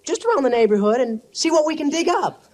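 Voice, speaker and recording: A man's voice: very high voice, big pitch swings, fast. A man playing an eager, guileless teenage son in a 1950s radio comedy.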